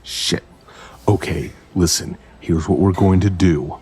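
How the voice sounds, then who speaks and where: An actor playing a grim, protective male investigator who talks tersely and deliberately in an audio drama. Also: sits low, darkish tone, breathy edge, exaggerated voice. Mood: tense, protective.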